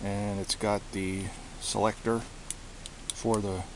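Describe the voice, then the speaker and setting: A man giving a casual show-and-tell of his gear, speaking haltingly and often repeating himself as he searches for words. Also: fairly low pitch, little pitch movement. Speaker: hobbyist presenter. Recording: hissy amateur home recording.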